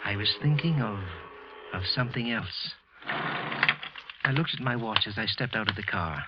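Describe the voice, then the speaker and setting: An actor playing a bitter office clerk, narrating a halting confession in 1940s radio style. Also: male voice, on the low side, lively intonation, heavy background noise. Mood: bitter, brooding. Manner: halting, tense confessional narration with repeated words. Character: bitter, trembling clerk consumed by quiet hatred. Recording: muffled, husky vintage radio recording.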